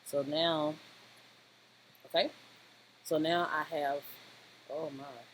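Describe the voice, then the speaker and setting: A software tutorial presenter talking informally through the steps in a rambling, halting way, often checking in with a short question. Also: medium pitch, steady tone. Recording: clearly noisy home-style voice-over recording.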